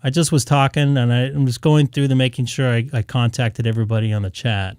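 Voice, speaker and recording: A man's voice: medium pitch, fairly even intonation, a little fast, a little mellow, clear, resonant tone, dry recording. Male streamer, tired, chatty, fillers, clean resonant voice, dry room. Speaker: ham radio live streamer. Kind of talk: casual live stream chat.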